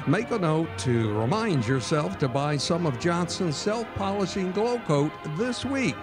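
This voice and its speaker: A man playing a 1940s radio announcer, reading commercials in a polished, upbeat pitchman delivery on a live stage. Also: animated, unhurried, over music. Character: cheerful male radio announcer, smooth salesman. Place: live stage performance in a ballroom.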